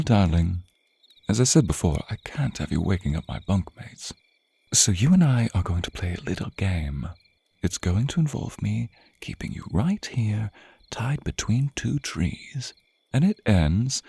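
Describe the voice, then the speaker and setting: A man playing a suave, menacing, teasing vampire captor in an intimate ASMR roleplay, with theatrical, purring, drawn-out phrasing. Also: deep voice, vocal fry, warm tone, slightly rough, no echo, heavily acted. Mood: hushed, teasing. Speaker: roleplay voice performer.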